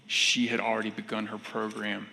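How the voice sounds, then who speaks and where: An American man in his thirties shares informal testimony from a stage. His voice is creaky and fairly even in pitch, with the long reverb of a hall around it. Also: normal speed. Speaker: seminar co-presenter. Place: on stage in an auditorium, seated at a high table. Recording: echoey hall, stage sound.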